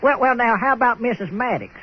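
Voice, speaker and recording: An actor playing a folksy, excitable manure hauler with a Southern American accent, stammering and drawling in an old-time radio comedy. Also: male voice, very high-pitched, quick pace. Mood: cheerful. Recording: muffled radio sound with faint noise.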